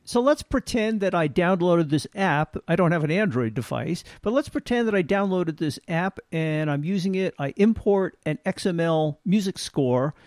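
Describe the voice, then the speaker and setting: A male interviewer with a slightly raised pitch asks measured, thoughtful questions. His voice is dry and close to the mic. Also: expressive, a little mellow, no room sound. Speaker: interviewer, host.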